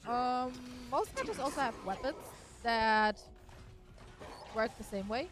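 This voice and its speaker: Lively German-accented woman streamer. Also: on the high side, a little slow. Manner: chatty explainer, many fillers. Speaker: gaming streamer. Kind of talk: casual gameplay commentary. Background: faint game music.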